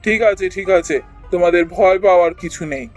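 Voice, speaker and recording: An actor as a measured, reassuring fairy-tale king. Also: mid-range voice, steady tone, natural voice. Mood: benevolent, commanding. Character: kindly, just young king of a fairy-tale realm. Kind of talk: Bengali fairy-tale cartoon dubbing. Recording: music under the voice.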